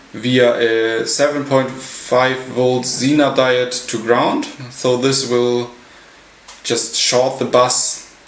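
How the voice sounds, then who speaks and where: A man giving an unscripted technical walkthrough as a hobbyist presenter, halting and pausing mid-sentence. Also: mid-range voice. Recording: roomy echo, light background noise.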